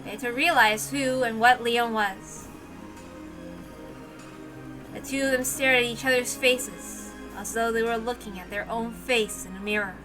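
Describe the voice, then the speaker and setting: A woman's voice: a little high, fairly even intonation, unhurried, over music, low-quality recording. A woman reading third-person narration from a visual novel as a story reader.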